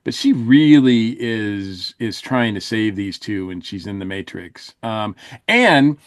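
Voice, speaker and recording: A man's voice: lowish voice, expressive, slow, no echo. A man chatting casually and emphatically as a podcast reviewer, rambling with enthusiasm. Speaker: podcast panelist reviewing audio dramas.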